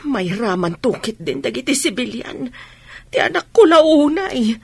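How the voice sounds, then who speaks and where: A woman playing a gentle, anxious mother with a questioning manner in an Ilocano radio drama. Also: fairly high pitch, big pitch swings, a little slow, creaky, breathy edge, dry recording, full character voice.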